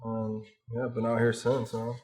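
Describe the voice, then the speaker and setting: A young man from Palestine, a hip hop artist, chatting in a low-key, laid-back way while getting a haircut in a barbershop. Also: monotone, moderate pace, darkish tone, faint music behind. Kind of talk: casual interview chat.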